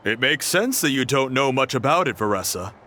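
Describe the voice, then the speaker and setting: A man playing an easygoing, exuberant young adventurer in a video game, relaxed and playful. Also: medium pitch, a little fast, noisy background, lightly acted. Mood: explaining. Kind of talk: animated video game acting. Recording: faint game music behind.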